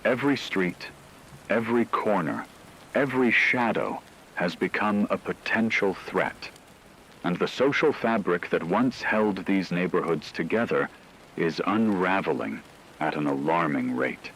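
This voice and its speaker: A man playing a solemn radio news correspondent, delivering measured, formal scripted reports on an apocalyptic disaster. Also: deep voice, expressive, a bit bright, slight background noise, exaggerated voice, rough recording. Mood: grave, ominous. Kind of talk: scripted dramatic news narration.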